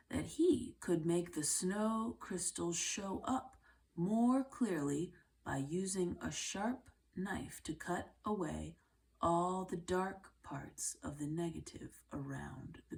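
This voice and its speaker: A woman reading a children's book aloud in a measured way, with deliberate phrasing and pauses. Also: fairly low pitch, expressive, a little mellow. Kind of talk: read-aloud storybook narration.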